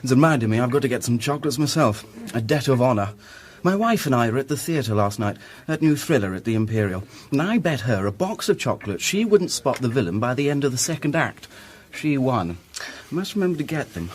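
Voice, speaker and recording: Amiable English clubman played by a man, upper-class accent, mid-pitched, animated inflection, polite period drama manner. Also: brisk, lightly acted. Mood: amused, easygoing. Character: amiable, mild English gentleman, married clubman. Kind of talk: British period radio drama acting.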